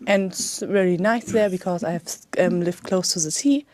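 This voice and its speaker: A woman's voice: lowish voice, normal speed, darkish tone, slight background noise. A young woman from Germany with a German accent, hesitantly answering questions in an English speaking test. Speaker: English exam candidate.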